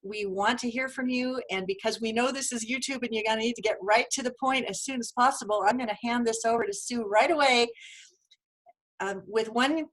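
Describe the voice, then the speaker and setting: A woman's voice: on the high side, fairly quick, fairly bright tone, low-quality recording. A woman hosting a live webinar over a video call, upbeat and effusive as she introduces her guest. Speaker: host.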